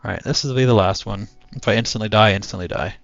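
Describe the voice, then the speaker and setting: A young man streaming a flight game, chatting to his viewers in a low-key, monotone voice. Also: fast talker, creaky, no room sound. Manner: low-key, talks to chat while playing. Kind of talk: casual gaming stream chat. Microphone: cheap headset mic. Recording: dull headset mic with game music.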